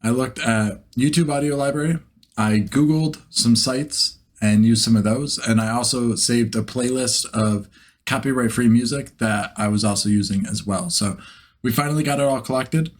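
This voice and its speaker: A man's voice: mid-range voice, moderate pace. A man talking casually and informally as a vlog host, thinking aloud in a self-deprecating way. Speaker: host, beginner voice actor. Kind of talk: casual vlog chat to camera.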